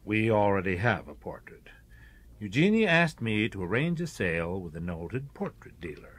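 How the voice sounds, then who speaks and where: An actor playing a mild, sly elderly brother in a radio drama, husky and breathy, with a hesitant, wry, measured delivery. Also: male voice, lowish voice, big pitch swings. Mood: calm, confessing. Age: older.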